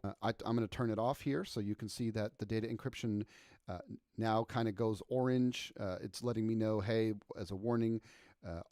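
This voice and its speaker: Man casually walking through software settings. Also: lowish voice, no room sound, studio quality. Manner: casual, many ums and restarts, self-correcting. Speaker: product trainer. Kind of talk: software walkthrough screencast. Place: voice-over on a screen recording.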